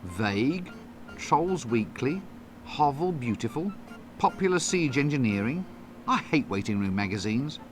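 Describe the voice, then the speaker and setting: An actor playing a bumbling, cowardly, wisecracking wizard in an adventure game, with a British accent and exasperated, sarcastic delivery. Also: male voice, mid-range voice, very animated, a little slow. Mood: wry. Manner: wry, sarcastic asides. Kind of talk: point-and-click adventure game voice acting. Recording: game music behind the voice.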